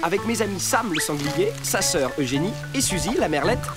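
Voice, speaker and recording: An upbeat, scheming fox voiced by a woman narrates a cartoon with a French accent. The voice is low for a woman and very lively, over loud music. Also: fairly quick, lightly acted. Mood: upbeat storytelling.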